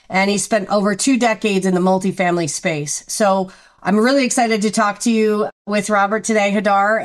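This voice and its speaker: A woman hosting a podcast, introducing her co-host and guest in an upbeat, scripted-sounding way. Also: mid-range voice.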